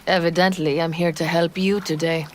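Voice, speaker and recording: An actress playing a tough, dry-witted tech helper in a cyberpunk game, talking in a blunt, familiar way. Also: female voice, lowish voice, level tone, normal speed, a little hiss or hum, close to an everyday voice. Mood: wry. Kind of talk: video game voice acting.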